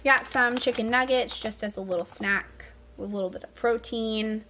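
A woman's voice: fairly high pitch, fairly even intonation, moderate pace, sharp tone, a little hiss or hum. A woman chatting casually as she narrates grocery items for a home vlog, speaking from behind the camera. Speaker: vlogger showing a grocery haul.

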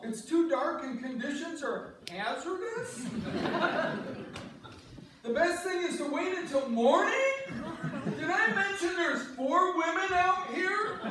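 A man acts out a flustered, pleading guy in a stage play, high-pitched and slow. He sounds distant in a very echoey hall. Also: noisy background, heavily acted. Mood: exasperated. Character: flustered, pleading man stranded on ice fishing trip. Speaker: amateur reader's theater performer. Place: church sanctuary stage before an audience. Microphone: far audience camera.

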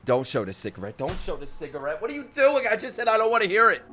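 A young man streaming his reaction to an anime at home, cracking crude jokes and breaking into sudden loud outbursts. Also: high-pitched, huge pitch swings, fast, soft background music. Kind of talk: live reaction commentary. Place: home streaming room with gaming chair. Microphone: studio mic on boom arm, headphones on. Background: anime soundtrack playing faintly.

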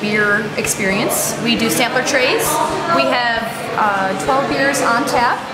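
A woman's voice: on the high side, takes it slowly. Upbeat woman explaining beer samplers. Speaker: brewery staff member. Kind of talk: casual explanation. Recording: echoey room with loud music.

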